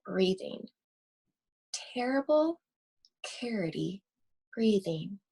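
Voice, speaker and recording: A woman reading a children's storybook aloud expressively, with deliberate, suspenseful phrasing and drawn-out words. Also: medium pitch, very animated, gravelly, darkish tone, slightly rough. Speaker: school library read-aloud host.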